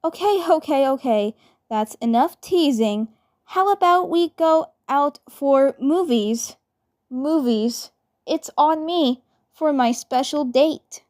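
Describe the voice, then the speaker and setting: A woman voicing a playful, affectionate cartoon girl, halting and repeating her phrases. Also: sits high, a little mellow. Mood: teasing.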